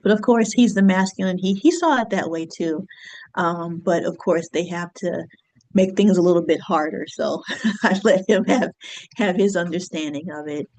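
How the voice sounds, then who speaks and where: A woman in her thirties, an astrologer and business coach, talking reflectively to her viewers with easy fillers and soft laughs. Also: lowish voice, normal speed. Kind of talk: casual solo talk to camera.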